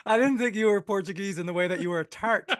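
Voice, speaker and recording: A man's voice: high-pitched, fast, no echo, studio quality. A man co-hosting a comedy podcast, explaining things in an easygoing, stammering way. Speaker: podcast co-host. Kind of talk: casual comedic chat.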